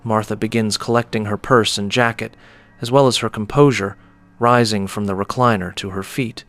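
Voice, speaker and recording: A man narrating a scripted audio story in a measured, descriptive manner. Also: fairly low pitch, fairly even intonation, faint music behind.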